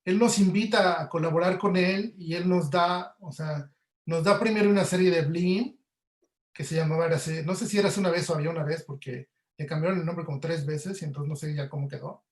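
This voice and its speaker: Male, Mexican accent, high-pitched voice. Conversational webinar guest, audio professional, recorded with noticeable room echo. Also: steady tone, a little fast. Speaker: guest audio producer and Foley artist. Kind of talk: conversational webinar interview answers. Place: indoor room, online webinar call. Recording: roomy, echoey sound.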